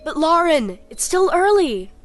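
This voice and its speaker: Woman voicing a high-pitched, lively young boy. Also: a little slow, soft background music, full character voice. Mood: pleading. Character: curious, earnest young boy. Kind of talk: audio storybook character acting.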